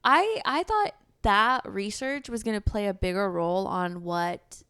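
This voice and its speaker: A woman's voice: medium pitch, expressive, unhurried, fairly bright tone, smooth, no echo, studio quality. A woman co-hosting a book podcast, chatting casually and candidly in informal, slangy language. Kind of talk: casual book discussion chat.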